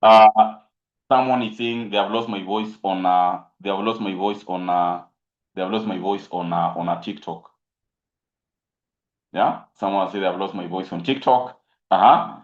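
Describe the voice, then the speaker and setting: A man with a Kenyan accent mentoring accounting students in a live online talk, mixing English with Swahili and often pausing on fillers and repeated phrases. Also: low voice. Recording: roomy, dull lo-fi stream audio.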